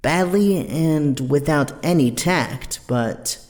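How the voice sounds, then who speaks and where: A man playing a mild, conciliatory teenage boy in an audio drama, gentle and a little hesitant. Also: a little high, takes it slowly. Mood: conciliatory, resigned. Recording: long hall-like reverb.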